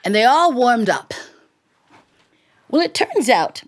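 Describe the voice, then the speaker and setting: A woman storyteller performs live in a theatrical, expressive way. Her pitch moves widely as she plays up each turn of the tale. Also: slow.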